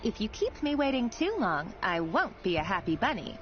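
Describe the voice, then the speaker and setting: A woman's voice: medium pitch, very animated, moderate pace, lightly acted. A woman voicing a tender, playful young sweetheart in a video game, coaxing and affectionate. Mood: playful, teasing. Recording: slightly dull game audio with music.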